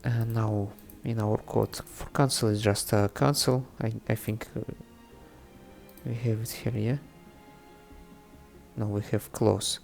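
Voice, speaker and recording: A native Russian-speaking man with a Russian accent presents a casual coding tutorial, thinking aloud in a halting way. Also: lowish voice, steady tone, vocal fry, a little mellow. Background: background music, typing on keyboard.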